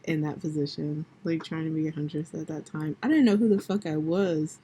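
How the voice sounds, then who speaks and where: A woman's voice: sits low, brisk, mellow, breathy edge. A tired young woman co-hosting a podcast in casual chat, informal, slangy and joking. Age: young adult. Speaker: podcast co-host.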